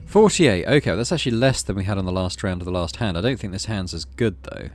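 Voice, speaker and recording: A man's voice: deep voice, expressive, quick pace. A man hosting a let's play, commenting on a game and reading its text aloud. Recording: clear background noise.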